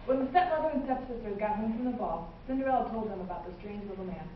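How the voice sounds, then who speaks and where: A woman's voice: medium pitch, fast talker. A young adult woman telling fairy tales as a student narrator in readers' theatre. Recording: echoey hall, distant mic, muffled, faint noise.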